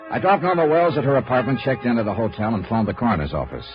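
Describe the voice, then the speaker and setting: An American actor playing a cool, probing insurance investigator in a 1950s radio noir drama, with clipped, wry delivery. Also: male voice, medium pitch, big pitch swings, fast talker, music in the background, lightly acted. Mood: dry narration. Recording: dull, noisy old radio recording.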